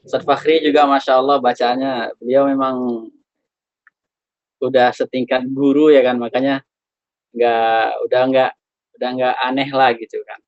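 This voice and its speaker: A man teaching Quran recitation on a video call, relaxed and chatty, gently correcting his students' pronunciation. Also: medium pitch, a little slow, pauses often, no echo, dull audio. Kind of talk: online tajwid class, correcting students.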